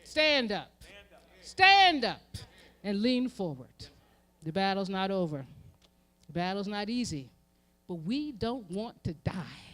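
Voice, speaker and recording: A woman with an American accent delivers an impassioned sermon, using emphatic repetition and swelling from hushed to forceful. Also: mid-range voice, very animated, slow pace, long pauses. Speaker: reverend speaking at a memorial event. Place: live event before an audience.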